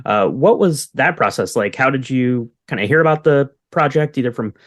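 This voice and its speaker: A man's voice: medium pitch, expressive, normal speed, fairly bright tone, dry recording, studio quality. A man hosting a podcast, interviewing a guest in a casual, conversational way.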